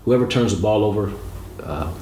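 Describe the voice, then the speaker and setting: A man co-hosting a sports talk show, laying out his analysis in a measured, assertive way. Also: fairly low pitch, warm tone. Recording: roomy echo, background noise.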